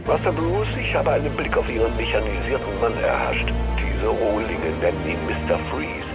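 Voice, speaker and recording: A man's voice: fairly low pitch, lively intonation, moderate pace, natural voice. An actor playing a formal, drily concerned old male butler in an audio drama. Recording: loud music under the voice.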